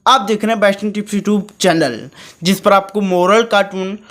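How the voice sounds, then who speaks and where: A man's voice: high voice, moderate pace, fairly bright tone. Male how-to presenter, chummy and direct, explaining on-screen steps. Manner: chummy, addresses viewers as friends and brothers. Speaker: tutorial channel host. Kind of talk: screen-recorded tutorial commentary.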